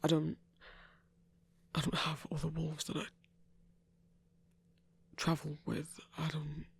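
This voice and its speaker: A man performs a lone werewolf hunter, gentle and socially awkward, for an ASMR audio drama. The voice is very husky and breathy, with a creaky edge. He speaks slowly, stopping and restarting as he searches for words. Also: on the high side, monotone, full character voice. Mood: hesitant, awkward. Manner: halting, hesitant, stammering. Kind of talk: intimate ASMR audio roleplay.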